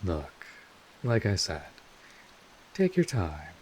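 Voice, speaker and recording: A man playing a world-weary but kindly old vampire mentor in an audio roleplay, speaking informally and in measured phrases with wry asides. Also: deep voice, huge pitch swings, a little mellow, a little hiss or hum, heavily acted, low-quality recording. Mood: frank, advising. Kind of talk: second-person audio roleplay monologue.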